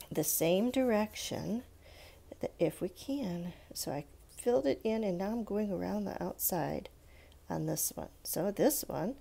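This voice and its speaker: Casual children's art teacher, female, gravelly edge, soft mellow tone, patient and encouraging. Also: on the low side. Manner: patient, encouraging, talking along while drawing. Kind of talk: casual step-by-step drawing tutorial for children. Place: indoors at a countertop.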